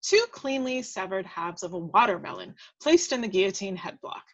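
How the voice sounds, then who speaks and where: A woman's voice: medium pitch, expressive, normal speed. A woman reading scripted action descriptions aloud at a table read, now and then stumbling and correcting herself.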